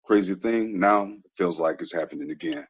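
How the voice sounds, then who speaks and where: Man playing a troubled young ex-athlete dude, really low voice, casual and earnest, drama table read, muffled audio. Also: normal speed, breathy edge. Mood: heavy, confessional. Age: young adult. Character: laid-back twenties ex-athlete man, frank, quietly troubled. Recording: dull lo-fi recording.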